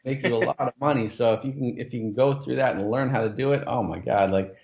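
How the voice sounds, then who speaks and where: A man's voice: medium pitch, fast talker, creaky, darkish tone, echoey room, lo-fi sound. A man hosting an interview, talking enthusiastically and filling his speech with "like" and "you know". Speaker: course creator, interview host. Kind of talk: casual interview over a call.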